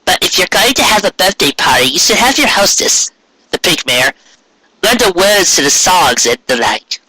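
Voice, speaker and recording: A man voicing a polite, motherly female pony in a story, with a gentle and hesitant manner. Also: high-pitched, sing-song, moderate pace, a little hiss or hum, pitched above the performer's own voice, heavily acted, rough recording.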